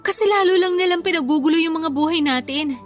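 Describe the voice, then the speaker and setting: A woman's voice: extremely high pitch, fast. An actress with a Filipino accent playing an earnest, disillusioned young girlfriend in a radio drama. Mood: hurt, pleading. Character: hurt, disillusioned young girlfriend, a content creator. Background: music.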